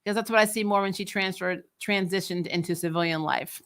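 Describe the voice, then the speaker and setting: A woman with a somewhat low voice hosts a casual recap show. She talks off the cuff like a fan answering viewers, blunt and opinionated. Her manner is exasperated and freely sweary. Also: fairly even intonation, a little fast, fairly bright tone. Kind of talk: casual opinionated fan commentary, replying to live comments.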